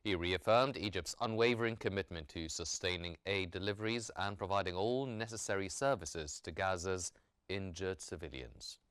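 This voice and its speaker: A man working as a news anchor, reading a scripted bulletin in a formal, measured newsreader delivery. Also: low voice, fairly bright tone, clear, resonant tone, no echo.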